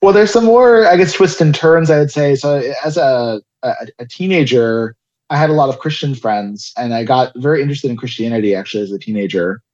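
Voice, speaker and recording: A young man raised in South Florida recounts his life story as an interview guest, pausing often with fillers and restarts. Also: mid-range voice. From Israel, raised in South Florida. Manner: hesitant, many restarts and fillers, soft laughs. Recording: dull lo-fi audio.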